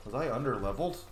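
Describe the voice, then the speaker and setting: A man hosting a let's play, commenting casually and excitedly, swearing and humming along as he plays. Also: mid-range voice, a little hiss or hum. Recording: echoey room. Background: game music.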